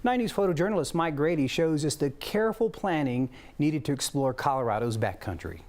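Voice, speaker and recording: A man's voice: on the high side, lively intonation, a little fast, a little mellow. A man with an American accent anchoring a TV newscast, reading scripted stories and chatting lightly between them. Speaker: TV news anchor.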